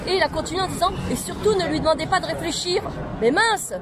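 High-voiced impassioned woman at a street protest. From France. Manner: impassioned, indignant, rapid rhetorical questions. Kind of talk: street interview. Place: city street outdoors. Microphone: handheld camera close to face. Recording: loud street crowd and traffic noise. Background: crowd chatter, traffic.

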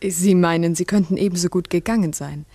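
Mellow, husky, inquisitive schoolteacher. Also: female voice, fairly low pitch, brisk, dry recording. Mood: puzzled, curious. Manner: probing, careful, polite questioning. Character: earnest, inquisitive female village schoolteacher. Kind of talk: 1960s German radio drama acting.

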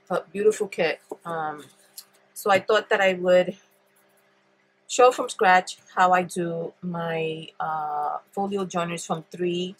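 A woman's voice: on the low side, slow pace, a little hiss or hum, rough recording. A woman with a congested, stuffy voice, chatting her way through a paper craft demonstration at her table, thinking aloud with frequent ums. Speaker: paper craft tutorial host. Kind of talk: relaxed step-by-step craft demonstration.

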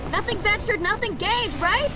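A woman playing a brisk, curious, daring scientist in acted video game cutscene dialogue. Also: extremely high pitch, background noise. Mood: eager. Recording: loud game music over the voice.

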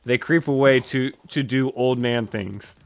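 A young man reading comedy scripts aloud casually and deadpan, sometimes close to giggling. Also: medium pitch, measured pace. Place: small dim room, indoors. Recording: home microphone with background noise, clicks.

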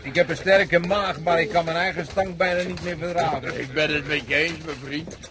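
A man's voice: a little high, steady tone, moderate pace, lightly acted. An actor playing a grumbling but genial soldier among his comrades in a radio drama. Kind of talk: Dutch radio drama acting. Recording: noisy radio play recording.

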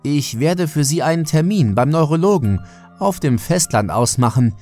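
Guy playing exasperated worried doctor in horror story, firm, pitched up, music behind. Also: expressive, moderate pace, darkish tone, close to an everyday voice. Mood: firm, urgent. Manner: urgent, commanding.

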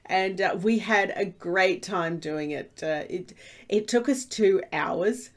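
A woman's voice: mid-range voice, slow pace. A woman with a stuffy cold, rambling through casual commentary over her Minecraft gameplay with lots of fillers and restarts. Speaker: Minecraft let's play host.

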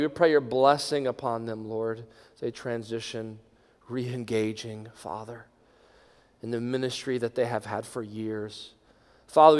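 A middle-aged man, a pastor leading a congregation in prayer from a lectern, moving between hushed, near-whispered phrases and strong, raised proclamation. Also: medium pitch, clean tone. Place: large church sanctuary. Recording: long church reverb.

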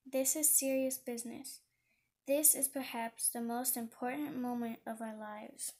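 A woman voicing an earnest, fussy goose in a storybook, serious and a little halting. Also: on the high side, steady tone, mellow, natural voice. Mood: stern.

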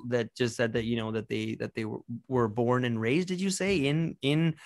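A man's voice: medium pitch, moderate pace. A man in his thirties, raised in North America, hosting a podcast on a video call, curious and rambling with stammered restarts. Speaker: podcast host. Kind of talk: casual video-call interview. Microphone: studio microphone with headphones.

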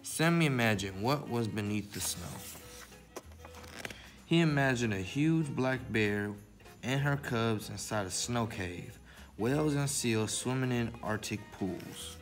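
A man's voice: medium pitch, expressive, measured pace, soft background music. A man hosting a library story time, presenting to children in the manner of a read-aloud and simple how-to.

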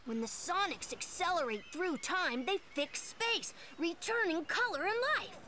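A woman's voice: extremely high pitch, lively intonation, a little slow, noisy background. A woman voicing an earnest, clever young boy fox sidekick in a video game, explaining things eagerly. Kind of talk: animated video game acting.

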